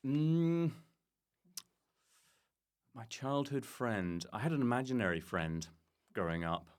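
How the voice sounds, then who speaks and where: A man's voice: mid-range voice, animated, slow. A man chatting casually as a comedian guest on a podcast, joking in a self-deprecating way. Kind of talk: casual comic chat.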